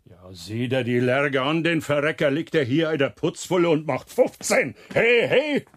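A man's voice: fairly high pitch, expressive, moderate pace, no room sound, studio quality. An actor playing a coarse but kindly foreman in a radio drama, speaking folksy Silesian German dialect. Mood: gruff, goading. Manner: folksy, gruff dialect talk.

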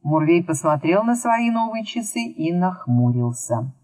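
A woman narrating a children's book as scripted, expressive storytelling. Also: on the low side, measured pace, no room sound. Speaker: audiobook narrator.

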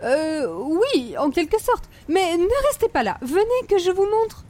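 A woman's voice: very high-pitched, sing-song, unhurried, a little mellow, slight background noise, exaggerated voice. A woman playing an affected, snobbish older hostess with a Quebec French accent and precious, formal diction, in radio comedy style. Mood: gracious, affected. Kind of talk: old-time radio comedy acting.

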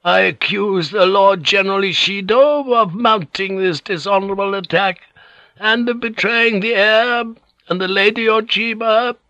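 Audiobook role: elderly aristocratic woman performed by a man, high frail voice, hoarse, resolute, formal and halting. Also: bright, forceful tone, dry recording, exaggerated voice, poor audio. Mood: solemn, accusing. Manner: solemn, halting, formal declarations. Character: frail dying old Japanese noblewoman, proud and resolute.

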